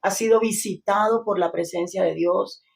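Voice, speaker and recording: Woman, guest pastor, earnest, hesitant fillers, mid pitch. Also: fairly even intonation, moderate pace, gravelly. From Colombia. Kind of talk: interview testimony.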